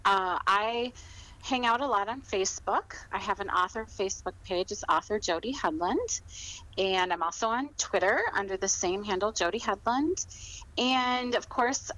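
A woman in middle age talks as a guest in a casual interview. She is chatty and informal, circling back to repeat phrases as she builds her answers. Her pitch sits in a middle range for a woman. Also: bright, forceful tone, low-quality recording. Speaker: podcast guest, author.

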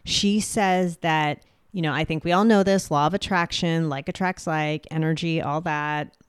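A woman hosting a solo podcast, speaking directly and clearly with a booming voice. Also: steady tone, normal speed, no room sound, clean studio sound. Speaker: podcast host.

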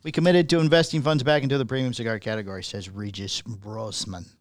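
A man co-hosting a talk show, reading news items aloud in a casual way and often halting to find his place. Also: mid-range voice, steady tone, no echo. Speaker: podcast co-host reading news.

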